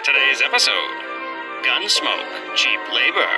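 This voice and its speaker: A man's voice: mid-range voice, very animated, measured pace. A man announcing a radio drama in old-time style, with a brass fanfare. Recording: distant, under very loud brass music. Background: loud trumpet fanfare.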